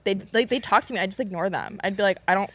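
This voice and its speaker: A woman in her twenties, a podcast guest telling stories in an animated, expressive way. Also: mid-range voice. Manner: animated, rapid and expressive storyteller. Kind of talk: casual chat.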